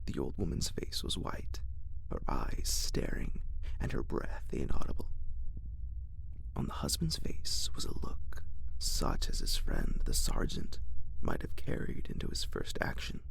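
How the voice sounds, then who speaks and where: A narrator reading a classic horror story in a hushed, deliberate, dramatic ASMR whisper. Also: sits low, huge pitch swings, vocal fry.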